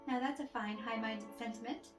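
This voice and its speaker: A woman's voice: fairly high pitch, steady tone, normal speed, lightly acted. A woman voicing a hurt, stubborn young lady in love, speaking dramatic rhyming verse from a storybook play. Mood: cool sarcasm. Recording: roomy echo with music behind.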